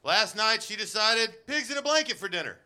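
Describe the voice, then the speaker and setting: Self-deprecating male comic, very bright voice. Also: high-pitched, animated, fairly quick, a little hiss or hum. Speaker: stand-up comedian. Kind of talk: stand-up set. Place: live comedy stage.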